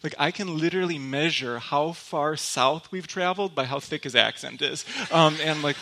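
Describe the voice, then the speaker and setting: A young man from Michigan giving a church talk as a guest speaker, telling personal stories in a relaxed, joking way with lots of fillers and restarts. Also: fairly high pitch, normal speed. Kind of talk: sermon told as personal stories.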